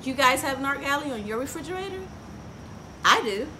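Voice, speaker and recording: A middle-aged woman with a fairly high voice reads a children's story in a lively, swooping way. She pauses to put questions to her young audience. She is recorded outdoors on a porch, with noticeable ambient noise. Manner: expressive, engaging children with frequent questions. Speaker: story time host. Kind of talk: picture book read-aloud for children with asides and questions.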